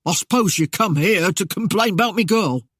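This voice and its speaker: A man's voice: sits high, animated, moderate pace, fairly bright tone, husky, no echo, studio quality, pitched above the performer's own voice, heavily acted. A man voicing a greedy, cowardly middle-aged mayor with a whining, coarse manner. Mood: wheedling. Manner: whining, wheedling, coarse dialect speech. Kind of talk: audiobook character voice.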